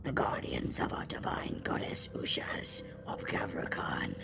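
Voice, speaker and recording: Hissing, gravelly alien guardian monster. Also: female voice, very deep voice, very animated, measured pace, in a whisper, music in the background, full character voice. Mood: menacing. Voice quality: breathy hissing rasp, gravelly. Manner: imperious, stilted, formal pronouncements. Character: menacing alien guardian serving a goddess, big bug-eyed monster. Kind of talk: audio drama acting.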